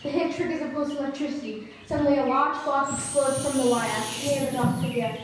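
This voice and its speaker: A woman's voice: high voice, fairly even intonation, fairly quick, lightly acted. A woman reading scripted narration for a radio play performed on stage. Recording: very echoey hall, distant mic, noisy.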